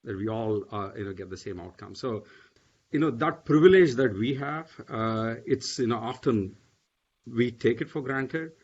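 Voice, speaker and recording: A man's voice: medium pitch, expressive, normal speed, darkish tone, breathy edge. A man speaking on a panel, hesitant, with many fillers and restarts. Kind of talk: panel discussion. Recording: dull lo-fi audio.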